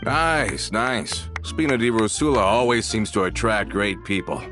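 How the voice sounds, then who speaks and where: An actor playing a cheerful young man just back from prison in a video game, with a jovial, expressive delivery. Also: on the low side, measured pace, over music, lightly acted.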